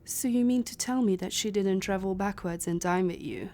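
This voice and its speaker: A woman playing a composed, authoritative leader of a secret time-travel organization, speaking formally and with measured command. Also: medium pitch, a little mellow, soft background music, lightly acted. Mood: stern, considering. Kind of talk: cinematic audio roleplay acting.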